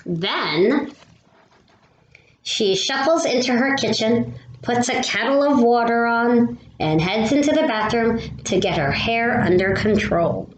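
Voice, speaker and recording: A woman reading a children's storybook aloud expressively, with animated, drawn-out emphasis. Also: medium pitch. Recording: roomy echo with faint noise.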